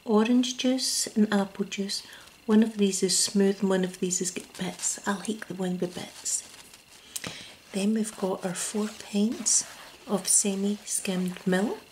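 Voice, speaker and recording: A Scottish woman speaking ASMR in a soft, gentle whisper close to the mic, at a table indoors. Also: medium pitch, fairly even intonation, takes it slowly, slight background noise, rough recording. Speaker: ASMR creator.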